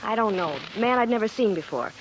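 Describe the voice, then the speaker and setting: A nervous secretary in an old radio mystery, evasive and easily flustered. Her voice sits fairly high, heard through a muffled vintage recording with plain background noise. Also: expressive, quick pace. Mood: defensive. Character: frightened, evasive young female secretary under questioning. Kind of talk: 1940s radio acting.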